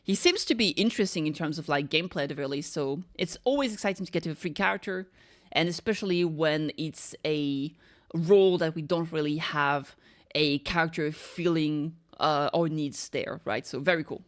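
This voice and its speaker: Rambling lower-voiced woman streamer. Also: moderate pace. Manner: rambling, hedging, thinking aloud. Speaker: game reaction streamer. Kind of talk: casual reaction chat.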